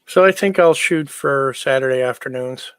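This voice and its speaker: A man's voice: mid-range voice, moderate pace, clear, resonant tone, dry recording. A man chatting casually on a livestream, easygoing and informal as he replies to viewers. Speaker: livestream host.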